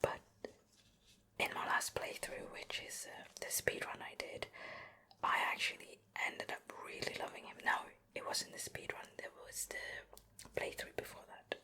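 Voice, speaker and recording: A woman giving an unhurried, chatty ASMR show and tell in a soft breathy whisper, close to the mic from ear to ear. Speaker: ASMR video creator and host. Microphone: close ear-to-ear stereo microphone.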